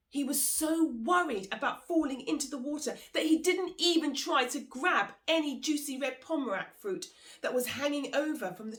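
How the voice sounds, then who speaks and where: A woman storyteller reads a children's tale with dramatic expression. Her mid-pitched voice moves up and down a great deal, and she addresses the listener directly. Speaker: author and storyteller.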